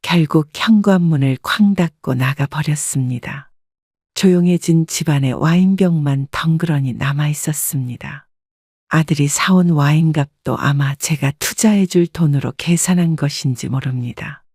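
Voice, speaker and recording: A woman narrating a scripted first-person family story in a calm, reflective tone. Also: low-pitched, moderate pace, dry recording, clean studio sound.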